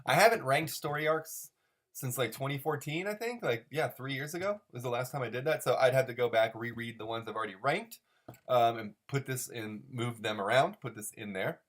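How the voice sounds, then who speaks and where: A man's voice: mid-range voice, a little fast, a bit bright. Man rambling in mock-serious commentary. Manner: mock-serious, overanalytical, emphatic repetition. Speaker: host of a comic-strip commentary show. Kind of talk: rambling, unscripted solo commentary.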